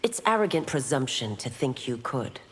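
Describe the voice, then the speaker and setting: A woman's voice: very deep voice, big pitch swings, normal speed. A woman playing a stern, proud female constable in a fantasy video game, formal and authoritative. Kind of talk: video game character dialogue. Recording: long hall reverb, faint noise.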